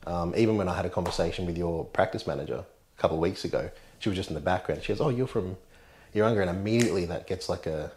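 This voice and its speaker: A man's voice: deep voice, rapid-fire, darkish tone, slight background noise. A man in his thirties with an Australian accent, chatting in a laid-back way as an interview guest. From Australia, born in the Blue Mountains, grew up on the mid-north coast of New South Wales. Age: 30s. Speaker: interview guest, insurance adviser. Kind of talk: casual conversational interview.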